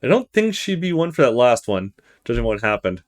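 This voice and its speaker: A man's voice: mid-range voice, sing-song, fairly quick. A man hosting a let's play, reading visual novel narration and adding his own commentary.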